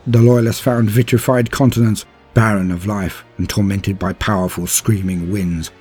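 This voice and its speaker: A man with a low, rough-edged voice delivers dramatic scripted narration. His phrasing is measured and theatrical, stretching key words for emphasis. Soft music plays behind him. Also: fairly even intonation. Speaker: lore narrator. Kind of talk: dramatic scripted narration of war fantasy lore.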